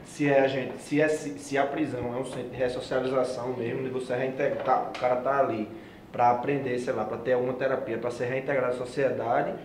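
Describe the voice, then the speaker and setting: A man's voice: mid-range voice, little pitch movement, a little fast, echoey room, a little hiss or hum. A man in his twenties, a history student on a panel, speaking reflectively with stumbling restarts. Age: 20s. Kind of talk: casual panel debate.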